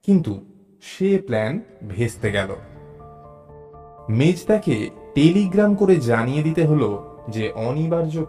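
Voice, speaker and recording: A male storyteller narrates a suspense tale in the first person. His mid-pitched voice moves slowly and rises and falls widely for drama, with soft music underneath. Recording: music behind the voice.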